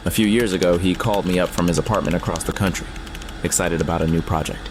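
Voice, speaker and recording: A man's voice: low voice, fast talker, noisy background, natural voice. An actor playing a man who narrates an eerie first-person account about his programmer friend in an audio drama. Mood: confiding. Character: male storyteller recounting his programmer friend's eerie tale. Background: music.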